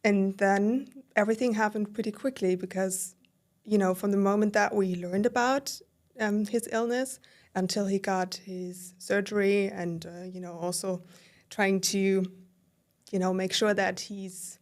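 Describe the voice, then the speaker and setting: A woman in her thirties from southern Germany with a German accent, a podcast guest recounting her life in a warm, filler-heavy way. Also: medium pitch, steady tone, measured pace. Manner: warm, storytelling with many fillers. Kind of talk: casual interview conversation.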